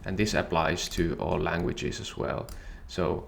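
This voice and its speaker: A man whose first language is Finnish, calmly and methodically walking through a software tutorial. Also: low-pitched, a little slow, creaky, a little hiss or hum. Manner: calm, methodical explaining. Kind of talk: screen-share software tutorial.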